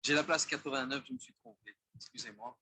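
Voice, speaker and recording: Friendly Canadian train passenger, bright tone and lively pitch. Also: male voice, a little fast, creaky, a little hiss or hum, full character voice. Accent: Canadian French. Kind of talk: textbook audio dialogue.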